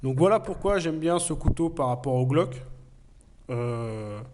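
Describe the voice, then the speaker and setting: A man's voice: on the high side, animated, unhurried, noisy background. A man who has a cold, reviewing a knife in a plain, earnest way with frequent hesitations. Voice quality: hesitant, many fillers. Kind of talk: casual product review.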